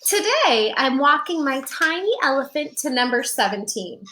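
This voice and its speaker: High, animated woman reading to children. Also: moderate pace, a little hiss or hum, rough recording. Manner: expressive storytelling with asides addressed to listeners. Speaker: children's storybook reader. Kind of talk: read-aloud picture book narration for young children.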